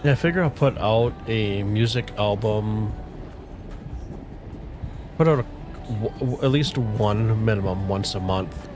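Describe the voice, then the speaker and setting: A middle-aged man chatting casually with viewers on a game livestream, relaxed and rambling, with a soothing voice. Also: on the low side, a little slow, pauses often. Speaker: streamer. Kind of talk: casual livestream chat while gaming. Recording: faint music playing underneath.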